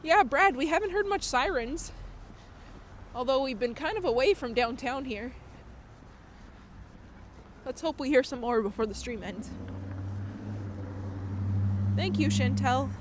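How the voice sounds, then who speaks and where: A woman's voice: high voice, lively intonation, a little slow, long pauses, a little hiss or hum. Chatty woman streaming outdoors, slightly nasal. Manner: chatty, laughs often. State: recovering from a cold, slightly nasal. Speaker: IRL streamer. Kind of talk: casual live-stream chat reading viewer comments. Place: outdoors on city streets at night.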